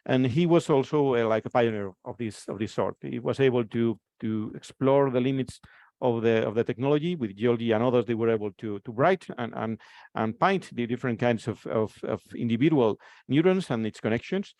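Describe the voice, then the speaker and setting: A man's voice: mid-range voice, a little fast, a little mellow, dry recording. A man from Spain in his forties with a Spanish accent, giving a conference presentation and often repeating words as he restarts his phrases.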